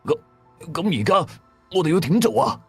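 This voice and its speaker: A man puts on a guilty young Hong Kong father in a radio ghost tale. The words come haltingly, with a nervous stammer. Music sits behind the voice. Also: sits high, sing-song, gravelly, fairly bright tone, breathy edge, dry recording, voice pushed up, full character voice. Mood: anxious. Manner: hesitant, stammering.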